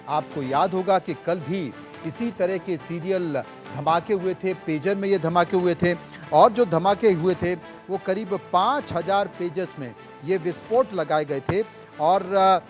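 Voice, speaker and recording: A man anchoring breaking news, emphatic and repeating key phrases as he presents and questions guests. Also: sits high. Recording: music bed behind the voice. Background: news music.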